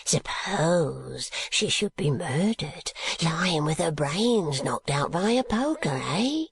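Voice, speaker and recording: A man voicing a jittery old male moneylender, speaking slowly and huskily. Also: fairly high pitch, big pitch swings, gravelly, slightly rough, no room sound, exaggerated voice. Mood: uneasy.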